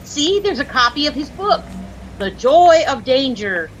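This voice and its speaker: An actress with an American accent playing a chirpy, easily dazzled young housewife who gushes and lightly scolds in a 1940s radio comedy. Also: female voice, high voice, sing-song, measured pace. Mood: gushing, admiring. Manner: gushing, breathlessly admiring, lightly scolding. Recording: noisy old-time radio recording.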